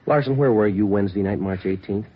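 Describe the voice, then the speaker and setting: Relentless radio-drama detective; low, husky, clipped and deadpan. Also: male voice, fast talker. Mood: insistent, dry. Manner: clipped, deadpan, methodical questioning. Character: dry, relentless male police detective. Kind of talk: 1950s radio police procedural acting. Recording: dull lo-fi vintage radio recording.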